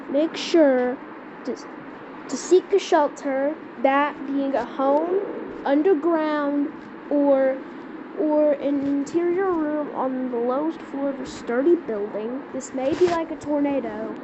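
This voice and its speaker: A woman improvising an amateur skit in a halting way, repeating words as she searches for phrasing, on a lo-fi recording. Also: high voice. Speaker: amateur creator voicing a homemade emergency scenario. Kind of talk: improvised amateur skit and mock emergency announcement. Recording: dull lo-fi audio with loud background noise.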